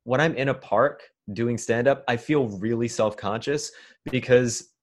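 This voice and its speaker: A man's voice: mid-range voice, unhurried. A man in his twenties from New York City, a stand-up comedian being interviewed, talking in a chatty, rambling way full of fillers. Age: 20s. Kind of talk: casual conversational interview.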